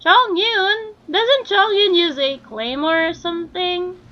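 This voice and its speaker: A woman's voice: very high-pitched, takes it slowly, muffled recording. A woman chatting casually with viewers as she livestreams a game, reacting in short interjections. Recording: game music behind voice.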